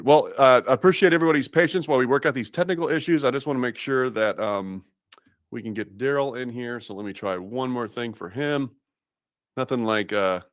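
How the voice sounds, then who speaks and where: A man's voice: lowish voice, no echo, studio quality. A man from Massachusetts hosting a live webinar, chatty and upbeat, with lots of fillers and restarts. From Massachusetts, USA. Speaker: webinar host.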